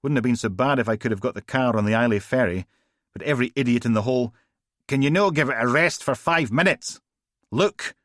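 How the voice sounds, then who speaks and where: A gruff, bossy woman detective, sarcastic and teasing, voiced by a man reading aloud, pitched well above his own low register. Her lines come loud and punchy in a dry, close sound. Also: a little fast, no echo, natural voice.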